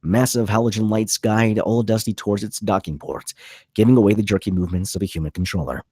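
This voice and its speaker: A man narrates a script in a low, rough-edged voice. His reading is dramatic and animated, moving through stage directions in a dry, close recording. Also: quick pace, no echo.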